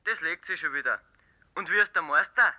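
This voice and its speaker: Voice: mid-range voice, brisk, bright, forceful tone. A young performer playing a mocking but sensible teenage boy with a Bavarian accent in a 1960s radio play, teasing and easygoing.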